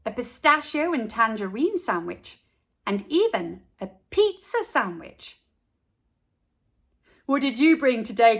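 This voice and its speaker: A woman narrating a children's storybook expressively, with deliberate, dramatic pauses. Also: medium pitch, fairly bright tone. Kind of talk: expressive children's read-aloud.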